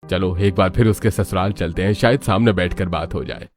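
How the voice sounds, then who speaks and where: A man's voice: deep voice, a little fast, close to an everyday voice. A man voicing a troubled middle-aged father in a family cartoon story. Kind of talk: Hindi cartoon story acting.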